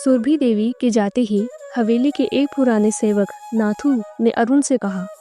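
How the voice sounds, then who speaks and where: A female storyteller delivers scripted narration. Her voice is rather high yet very mellow, with background music playing under it. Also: a little slow.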